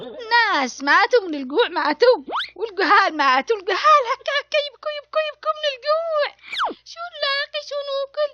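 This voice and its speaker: A woman voicing an excitable old Yemeni woman from a cartoon, with an Adeni accent and a repetitive, wailing delivery. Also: very high-pitched. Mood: plaintive, agitated. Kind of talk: cartoon comedy dub. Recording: dull audio with faint noise.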